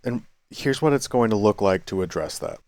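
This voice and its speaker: A man with a deep voice muses aloud in a casual vlog, circling back and correcting himself as he goes. The sound is dry and close, with little room around it. His pace stays loose and conversational. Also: expressive, moderate pace, a little mellow, no room sound. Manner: thinking aloud, many restarts and self-corrections; quits smoking, chews sunflower seeds. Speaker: vlogger. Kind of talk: rambling reflective monologue. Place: cafe.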